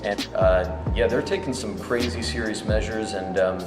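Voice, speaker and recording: A young man talks loosely and jokingly, slipping into sarcasm. His voice sits a little low, the room sounds echoey, and music runs clearly underneath. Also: fairly even intonation, normal speed. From United States. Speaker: interviewee. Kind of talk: casual interview answers. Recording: roomy sound over background music.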